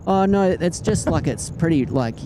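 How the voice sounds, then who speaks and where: A man with a New Zealand accent, an air traffic controller chatting as a guest on a headset, relaxed and rambling. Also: a little high, moderate pace. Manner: relaxed, rambling, trails off and restarts. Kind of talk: casual chat. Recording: loud outdoor traffic noise.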